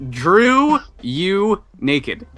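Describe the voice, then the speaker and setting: High-voiced jokey man, very animated. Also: extremely slow. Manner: jokey, irreverent interjections. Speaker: player in a tabletop game. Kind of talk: casual joking banter.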